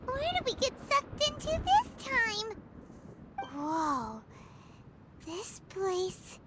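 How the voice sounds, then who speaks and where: A woman voicing a tiny, chatty female fairy companion in a game, with a squeaky, bubbly way of talking. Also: sing-song, drawn-out words, fairly bright tone, dry recording, exaggerated voice. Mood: curious.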